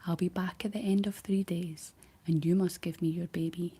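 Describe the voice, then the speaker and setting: A woman's voice: lowish voice, steady tone, moderate pace, dark tone, breathy edge. A woman whispering as a cruel fairy woman in green. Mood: cold. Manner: whispered, nasty and cold.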